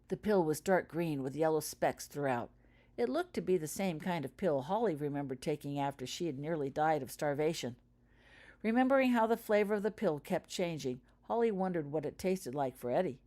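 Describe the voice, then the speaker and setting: A woman's voice: low-pitched, expressive, brisk, dark tone. A woman reading her own story aloud as scripted audiobook-style narration. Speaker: author reading own fan fiction aloud.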